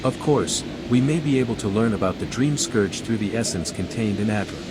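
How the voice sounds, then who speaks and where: An actor playing a dry-witted, restrained envoy, the hero of a video game, in measured dialogue. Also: male voice, lowish voice, expressive, very noisy, close to an everyday voice. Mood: matter-of-fact.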